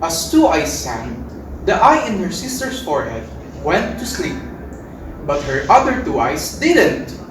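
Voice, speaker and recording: Woman telling a fairy tale, slowish, big echoey room, bit far off. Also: sits low, very animated. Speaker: narrator. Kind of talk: scripted storytelling, readers theater. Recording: very echoey room, distant mic, faint noise.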